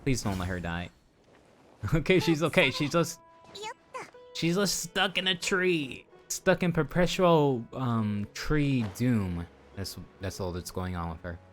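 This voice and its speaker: A man's voice: medium pitch, very animated, slow pace, a bit bright. A man doing casual, rambling live commentary on a video game, playfully chatting to his viewers, with game music behind him. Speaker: game streamer.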